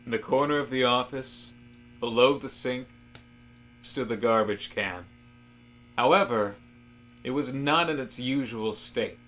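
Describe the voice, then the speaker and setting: A man narrates a scripted story at a slow, deliberate pace. His voice sits at a middle pitch, and he treats plain details with mock solemnity. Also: a bit bright, slight background noise.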